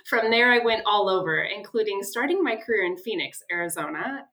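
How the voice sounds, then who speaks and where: Bright-voiced professional woman from the American Midwest. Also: mid-range voice, expressive, fairly quick. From Midwestern United States. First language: English. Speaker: guest, sales executive. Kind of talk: professional self-introduction in an interview.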